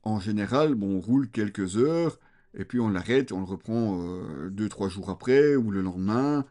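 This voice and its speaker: A man's voice: fairly low pitch, lively intonation, normal speed, dark tone. A man giving a casual, explanatory product review in a conversational, somewhat hesitant way, step by step.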